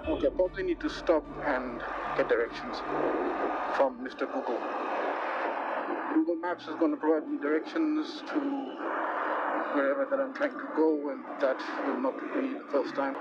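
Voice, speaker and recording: A man with a Pakistani accent chatting casually and haltingly as he vlogs from a moving motorbike. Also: a little high, lively intonation, gravelly. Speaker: motovlogger. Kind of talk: casual vlog chat while riding. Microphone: lavalier into action camera. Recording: muffled lavalier audio, loud traffic noise. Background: engine, wind and traffic noise.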